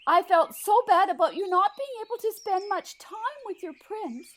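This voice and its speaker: A woman's voice: extremely high pitch, animated, moderate pace, a bit bright. A woman playing a sweet, meddling, blithely cheerful fairy godmother in a comedic audio drama, doting in manner. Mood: coyly pleased.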